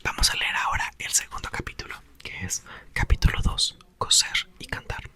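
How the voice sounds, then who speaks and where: A young man softly whispering a book aloud for ASMR, with playful chatty asides. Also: moderate pace. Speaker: ASMR host reading aloud.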